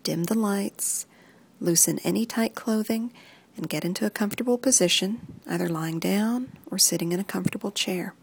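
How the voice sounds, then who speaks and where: A woman reading a guided muscle relaxation script in soft, even instructions that often sink into a whisper. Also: mid-range voice, moderate pace, creaky, slight background noise.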